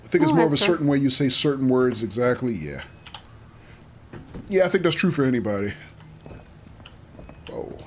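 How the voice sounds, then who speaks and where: A man's voice: mid-range voice, big pitch swings, a little fast, pauses often, crowd noise. A man streaming a game live, chatting casually with viewers, reading out their messages and greeting them by name. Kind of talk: casual livestream chat while playing.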